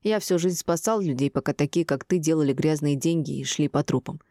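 A woman's voice: deep voice, a little fast, warm tone, no echo, studio quality. A woman reading the part of a stubborn, insistent ex-husband, an indignant male doctor, in an audiobook. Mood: bitter scorn.

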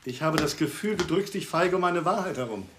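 A male actor plays a frank, familiar friend in a radio play. His voice is on the high side and he talks briskly and bluntly. Noticeable noise sits behind him. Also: animated, lightly acted. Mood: reproachful. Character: breezy but blunt male friend of the lawyer. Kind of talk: radio drama acting.

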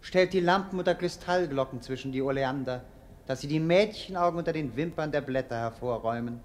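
An actor playing a languid, melancholy young prince in a radio play, declaiming poetically and commandingly. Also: male voice, a little high, fairly quick, lightly acted. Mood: languid, imperious. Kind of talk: theatrical German radio play acting.

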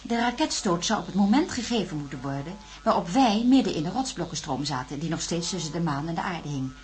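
A woman's voice: lowish voice, animated, brisk, darkish tone, lightly acted. An actress playing a level-headed, earnest female astronaut in a science-fiction radio drama. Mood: sober recounting. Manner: explanatory, earnest. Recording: radio drama with faint hum.

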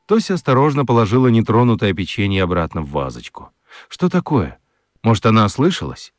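Low-voiced, animated male storyteller. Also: fairly quick, no room sound, studio quality. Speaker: narrator. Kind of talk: expressive scripted children's story narration.

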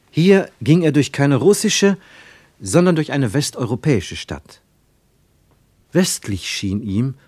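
A man narrating a literary radio play with measured, deliberate phrasing and dramatic pauses. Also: medium pitch, darkish tone, husky, no room sound.

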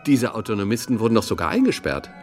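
An actor playing a polite, eager radio interviewer from Westphalia in a radio play, courteous and conversational. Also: male voice, on the low side, very animated, fast, faint music behind. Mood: courteous, probing.

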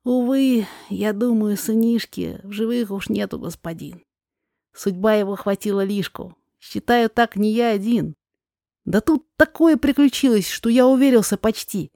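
A woman playing a humble male mountain guide and herb gatherer in a verse drama, telling things in a measured storyteller's way. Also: mid-range voice, creaky, dark tone, no echo, clean studio sound, natural voice. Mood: grave, regretful. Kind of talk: rhymed verse drama reading.

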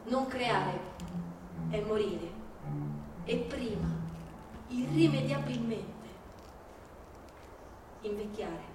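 A woman giving a dramatic stage reading of literary texts, with a measured, declamatory delivery and deliberate pauses. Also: a little high. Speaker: stage performer. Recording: very echoey hall from far off, music behind. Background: live music.

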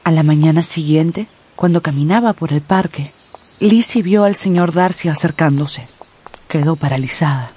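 A woman narrating a radio novel in an expressive, literary, scripted storytelling style. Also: low voice, moderate pace, slight background noise.

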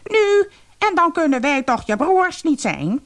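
A woman voicing a mischievous, mocking talking raven in a fairy tale, with a shrill, screechy voice and a teasing, cawing manner, over music. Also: big pitch swings, a little slow.

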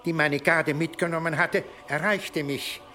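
An actor in a radio play playing a powerful medieval archbishop, accusing and mocking in a deliberate manner. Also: male voice, a little high, fairly even intonation, bright, forceful tone, faint music behind. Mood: cold, triumphant.

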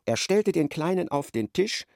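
An actor narrating a darkly comic literary radio play as an observant storyteller. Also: male voice, fairly high pitch, sing-song, moderate pace, vocal fry, no echo, studio quality. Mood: wry narration.

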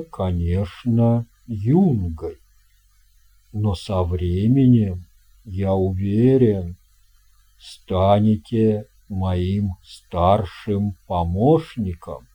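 A dignified captain of a storybook steamship, acted out by a man. His voice is deep and very mellow, and he chooses his words with formal courtesy and weight. He takes his time over every phrase. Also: husky, heavily acted. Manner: formal, measured, weighty delivery. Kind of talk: audio storybook character voice.

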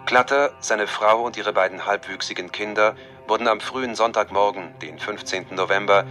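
Formal male newsreader, radio play, deep bright tone. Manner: formal, official. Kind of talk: news bulletin reading.

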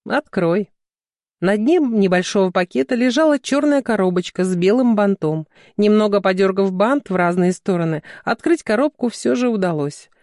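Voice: medium pitch, moderate pace, few pauses, a little mellow, no echo, studio quality. A narrator reading scripted fiction. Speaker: audiobook narrator.

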